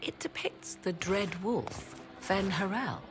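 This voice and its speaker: A woman playing a haughty, learned mystic in a fantasy video game, speaking in formal, measured, archaic phrasing. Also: mid-range voice, natural voice. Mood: lecturing, solemn. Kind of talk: dramatic video game dialogue. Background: video game music.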